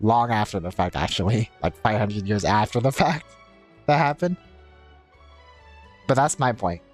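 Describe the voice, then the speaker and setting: A man's voice: fairly low pitch, expressive, unhurried. A man chatting casually on a game livestream, explaining himself and circling back over his points. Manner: repetitive, explanatory. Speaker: game streamer. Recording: game music behind voice.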